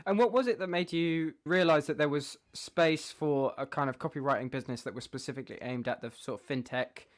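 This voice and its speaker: A man's voice: on the high side, a little fast. A man hosting a podcast, introducing and questioning in an interview style. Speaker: podcast host.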